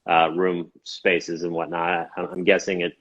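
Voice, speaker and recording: Casting: deep-voiced male podcast host, curious, meandering questions, muffled lo-fi audio. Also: completely flat delivery, unhurried, fairly bright tone. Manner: curious, asks rambling questions. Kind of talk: casual interview.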